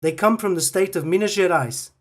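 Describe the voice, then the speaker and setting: A woman reading short phrases slowly and carefully for language learners to repeat. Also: lowish voice. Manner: reads each phrase slowly and carefully, with pauses for repetition. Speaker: phrase recording voice. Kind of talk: slow, clear phrase drills for learners.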